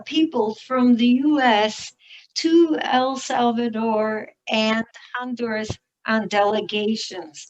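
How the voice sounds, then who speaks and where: A woman's voice: a little high, low-quality recording. A woman moderating an online commemoration, thanking one speaker and formally introducing the next. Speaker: event moderator.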